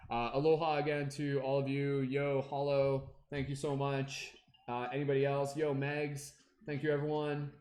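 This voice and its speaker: A young man hosting a casual tarot livestream, rambling with many fillers and humming to himself between comments. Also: medium pitch.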